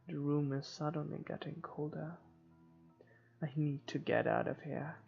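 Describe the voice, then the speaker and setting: Slow, creaky-voiced man reading horror narration. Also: fairly high pitch, a little mellow, lo-fi sound. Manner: halting, stumbles and rereads. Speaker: gamer reading a visual novel aloud. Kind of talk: dramatic read-aloud of first-person horror narration. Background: game music.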